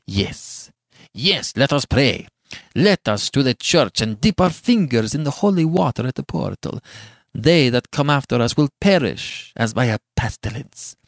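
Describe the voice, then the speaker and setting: A man's voice: fairly low pitch, very animated, takes it slowly, no room sound, clean studio sound, full character voice. A man playing a passionate, bitter young man in a storybook, declaiming with venomous scorn in archaic language. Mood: fiendish scorn.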